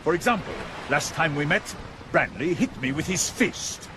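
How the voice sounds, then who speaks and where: A man's voice: a little high, lively intonation, moderate pace, lightly acted. Man acting smug, theatrical villain politician, noisy vehicle. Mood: smug menace. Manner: smooth, mock-polite, gloating. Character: smug, menacing male politician villain holding a gun. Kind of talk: audio drama acting.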